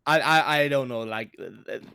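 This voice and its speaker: A man giving casual esports color commentary with a non-English accent, often halting and repeating words. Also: medium pitch, gravelly, pushed and bright, smooth, no echo, studio quality.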